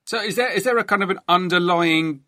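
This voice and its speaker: A man's voice: sits high, fairly quick, pushed and bright, dry recording, studio quality. A man hosting a history interview, putting probing questions in a conversational way. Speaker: interviewer, podcast host.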